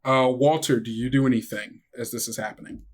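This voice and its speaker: Guy game mastering an actual play, medium voice, chatty, makes up the scene as he goes, lots of halting. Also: mid-range voice, fairly even intonation. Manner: conversational, halting descriptions. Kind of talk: improvised narration of a tabletop roleplaying game.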